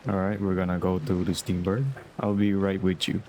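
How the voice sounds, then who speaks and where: A man's voice: sits low, level tone, normal speed, a little mellow, slight background noise. A man giving casual walkthrough commentary as he plays a game, with music behind him.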